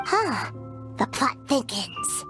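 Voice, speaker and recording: A woman voicing a tiny, excitable, childlike fairy companion in a video game, squeaky and inquisitive. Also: slow pace, soft background music, heavily acted. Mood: curious. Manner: exclamatory, inquisitive. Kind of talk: video game character acting.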